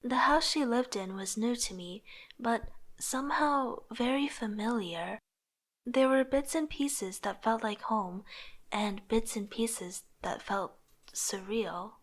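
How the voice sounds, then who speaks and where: Woman acting a young aspiring artist, slightly high voice, soft, introspective, wistful motion-comic narration. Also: slow. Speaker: narrator and lead character. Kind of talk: reflective first-person narration with dialogue.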